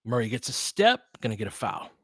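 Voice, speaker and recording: A man's voice: mid-range voice, very animated, fairly quick, a bit bright, dry recording, studio quality. An American man giving casual, conversational play-by-play commentary as a stream host, analytical and explanatory in the way he talks.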